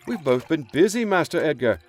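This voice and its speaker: An actor playing a loyal older manservant in an audio drama, polite, formal, gentle and deferential. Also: male voice, a little high, very animated, a little fast. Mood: deferential, affectionate. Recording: music underneath.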